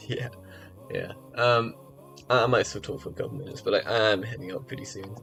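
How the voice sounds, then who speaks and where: A man chatting casually on a live stream, rambling with lots of fillers and restarts, his voice a little rough as if from early mornings. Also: on the low side, steady tone, moderate pace, a bit bright, rough recording. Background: faint music.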